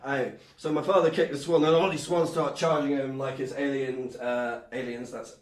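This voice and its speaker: A man performing a stand-up comedy routine in a rambling, animated way, often interrupting himself. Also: medium pitch, normal speed. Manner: rambling, self-interrupting, animated, sometimes shouting. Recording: echoey room, distant microphone.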